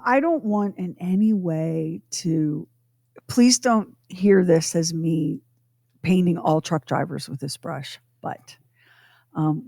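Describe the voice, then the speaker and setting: A woman co-hosting a radio show, talking casually and explaining things in emphatic, deliberate phrases. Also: low voice, creaky, mellow, no echo, studio quality. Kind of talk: casual conversational podcast talk.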